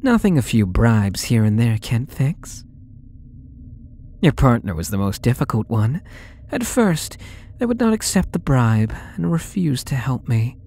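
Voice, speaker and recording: A man's voice: medium pitch, big pitch swings, warm tone, slight background noise. A young man playing a possessive, sweetly menacing male stalker in an ASMR roleplay audio drama, with a taunting, coaxing manner. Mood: smug, confiding. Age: young adult. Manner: slow, taunting, coaxing delivery.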